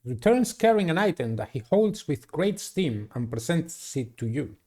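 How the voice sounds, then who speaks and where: A man doing a playthrough of a visual novel, reading it aloud and commenting in a deliberate, halting way. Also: fairly high pitch, big pitch swings, a little mellow. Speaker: gamer playing and narrating a visual novel. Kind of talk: dramatic read-aloud with casual playthrough commentary.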